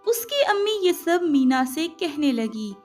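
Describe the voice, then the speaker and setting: A high-voiced woman narrates a children's moral tale in scripted storybook style. Music plays behind her as she tells it. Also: sing-song, measured pace.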